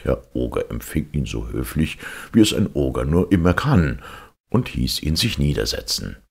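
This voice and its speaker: Very deep, gravelly male storyteller. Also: very animated, normal speed, darkish tone. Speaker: narrator, audiobook reader. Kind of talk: expressive scripted fairy-tale narration.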